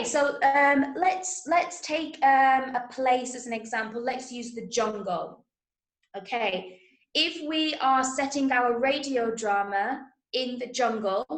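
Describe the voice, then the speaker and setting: Workshop teacher: English woman, fairly high voice, encouraging, interactive online lesson delivery, roomy echoing sound. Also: fairly bright tone. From Manchester, England. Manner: encouraging, praising students' answers. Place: indoors, on a video call.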